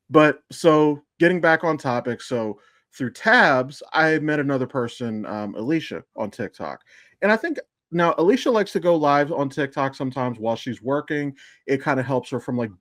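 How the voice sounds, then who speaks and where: A man's voice: mid-range voice, expressive, normal speed, fairly bright tone, dry recording, studio quality. A man chatting casually as a podcast guest or co-host, telling a story in a rambling, digressive way. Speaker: podcast co-host and amateur voice actor. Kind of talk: casual chat.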